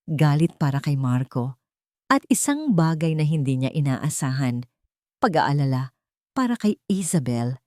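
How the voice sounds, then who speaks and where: A woman's voice: deep voice, sing-song, measured pace, darkish tone, dry recording, clean studio sound. A woman narrating a scripted romantic drama as a storyteller.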